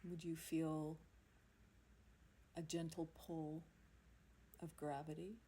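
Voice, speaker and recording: A woman guides a relaxation practice as a yoga teacher would. Her voice is soft-edged and somewhat low, and she speaks very slowly with long, soothing pauses. It is an amateur recording with a faint bed of noise behind her. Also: flat delivery.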